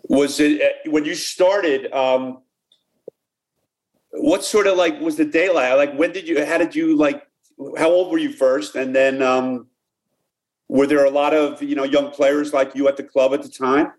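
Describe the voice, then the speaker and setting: Halting male podcast host. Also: fairly high pitch, fairly even intonation. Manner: halting, many "uh" fillers and restarts. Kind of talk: casual podcast interviewing.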